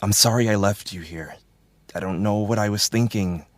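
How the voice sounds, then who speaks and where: A man's voice: on the low side, normal speed, dry recording, natural voice. A young man acting in an audio drama as a twin brother, speaking hesitantly and apologetically. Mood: remorseful.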